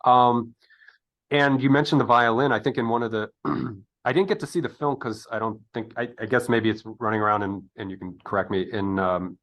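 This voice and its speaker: A man's voice: mid-range voice, fairly even intonation, brisk, a bit bright. A man interviewing a guest in a casual, conversational style, rambling a little as he thinks aloud. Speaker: interviewer, podcast host.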